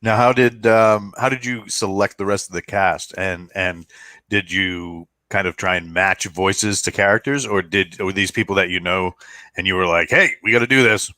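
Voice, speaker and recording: Male podcast interviewer, low pitch with a bright edge, dry close sound, informal. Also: moderate pace, no room sound. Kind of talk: casual chat.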